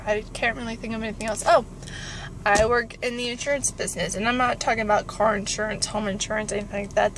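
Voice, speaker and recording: A woman of about twenty-three speaks in a somewhat high voice. She rambles informally, as in a casual vlog. The sound is that of a phone inside a car, with noticeable background noise. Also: low-quality recording. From California, United States. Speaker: vlogger. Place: inside a parked car with engine warming up. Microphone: phone at arm's length.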